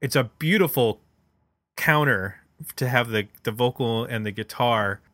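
Man talking music, crisp bright voice. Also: mid-range voice, measured pace, dry recording. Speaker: music podcast host. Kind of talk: casual music discussion.

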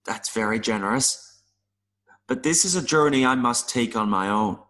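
A man playing a gentle, wistful mayfly in an audio play, speaking in an earnest, philosophical way with small hesitations. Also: medium pitch, normal speed, natural voice. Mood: tender regret. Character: gentle, wistful mayfly longing to see the world.

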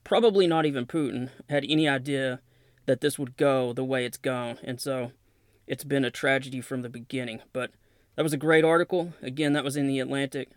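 A man's voice: medium pitch, steady tone, a little fast, clear, resonant tone, dry recording, clean studio sound. A man with a Southern accent hosting a podcast, talking casually through defense news and reading press releases aloud with plain asides. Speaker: podcast host.

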